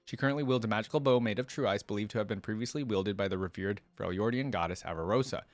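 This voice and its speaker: A man with a fairly low voice hosts a casual reaction video. He moves informally between reading aloud and offering his own thoughts. The sound is very dry, with next to no room in it. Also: fast talker, dry recording.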